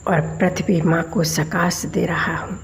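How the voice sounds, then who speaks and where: Very slow, low-voiced woman guiding meditation. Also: flat delivery, gravelly, slight background noise. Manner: measured, deliberate short phrases with long pauses. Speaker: meditation guide. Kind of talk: slow guided meditation commentary.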